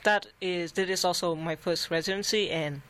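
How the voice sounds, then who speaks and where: A young woman from Vietnam, answering interview questions haltingly with frequent uhs. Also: lowish voice, steady tone, fairly bright tone. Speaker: artist guest.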